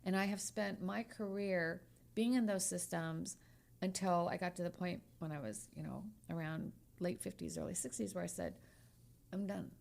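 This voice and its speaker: A woman's voice: fairly low pitch, moderate pace, creaky, a little mellow. A woman in her sixties, a lawyer co-hosting a podcast, talking frankly and emphatically and repeating phrases as she hunts for words. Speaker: podcast co-host, trial lawyer. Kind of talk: candid podcast conversation.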